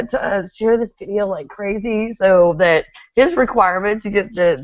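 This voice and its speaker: A woman's voice: mid-range voice, dry recording, dull audio. A middle-aged woman from Texas with a Texan accent, hosting a casual interview, chatty and often laughing. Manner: chatty, laughs often, reads viewer comments aloud. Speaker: host.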